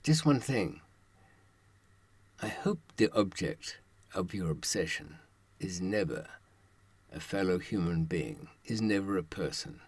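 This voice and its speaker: Husky older man with a dramatic, swooping delivery. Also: sits low, very animated, no room sound. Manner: deliberate, dramatic phrasing with pauses and rhetorical questions. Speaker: monologist. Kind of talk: reflective spoken monologue to camera.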